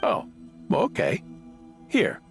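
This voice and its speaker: A man playing a kindly male inventor and gentle mentor in a video game, explaining things patiently with some hesitance. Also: extremely slow, faint music behind. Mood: hesitant, tender. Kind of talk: video game character acting.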